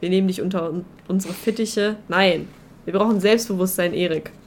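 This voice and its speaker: A woman's voice: fairly low pitch, fairly quick, slight background noise. A young woman co-hosting a podcast in casual chat, with a joking, ironic manner. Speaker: podcast co-host.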